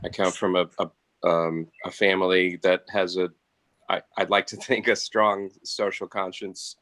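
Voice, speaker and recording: A man speaks casually and haltingly, often doubling back on words. His voice is very low yet bright and forceful, recorded dry. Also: little pitch movement, no echo. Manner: halting, repeats words as he goes. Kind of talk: casual self-introduction.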